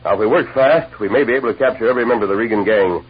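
An actor playing a masked western lawman hero, commanding and urgent, in 1950s radio drama style. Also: fairly low pitch. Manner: brisk, authoritative, clipped questioning. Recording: muffled, raspy old radio recording.

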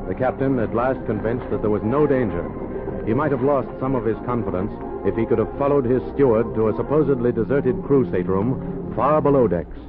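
A man narrating a 1930s radio drama in a measured, dramatic way. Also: mid-range voice. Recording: tinny old radio recording with music.

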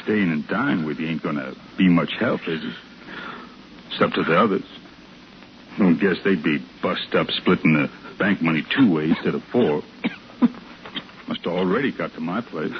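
A man acts out a callous bank robber with a deep voice and a folksy, rough drawl. He speaks matter-of-factly and without pity. The sound is a dull vintage radio recording with faint noise. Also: moderate pace, pauses often, close to an everyday voice. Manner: folksy ungrammatical speech, matter-of-fact and callous. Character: cold, hard male outlaw bank robber on the run. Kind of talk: 1950s radio drama acting. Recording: muffled, faintly noisy 1950s radio recording.

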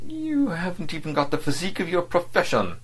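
A man's voice: sits high, huge pitch swings, fairly quick, pitched above the performer's own voice, full character voice. A man voicing a cynical, mocking foreign diplomat who talks sharply and haughtily in a raised voice, in an audiobook. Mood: sneering.

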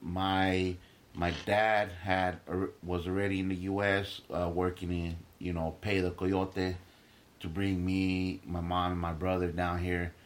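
Emphatic swearing comedian, low voice. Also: male voice, level tone, a little slow. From Guatemala. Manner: emphatic, swearing, with stammered restarts. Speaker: stand-up comedian, guest. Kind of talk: casual podcast interview.

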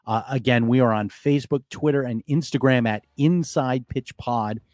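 A man with a hoarse, worn voice hosts a live sports podcast late at night. He talks loosely, circling back and restarting his sentences. The sound is dry and close, with no room around it. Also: mid-range voice, normal speed, a little mellow, no echo. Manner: rambling, many restarts and fillers. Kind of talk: casual live podcast talk.

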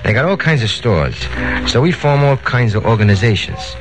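A man's voice: medium pitch, big pitch swings, moderate pace, natural voice. A man acting an eager small-time racketeer pushing his scheme in a 1940s radio crime drama, slangy and insistent. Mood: eager pitching. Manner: slangy, insistent salesman patter. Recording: 1940s radio broadcast with music.

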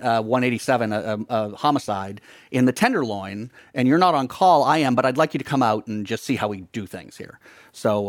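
A man's voice: medium pitch, no room sound, studio quality. A middle-aged man from the eastern United States, a co-author talking in a live onstage conversation, chatty and given to restarts.

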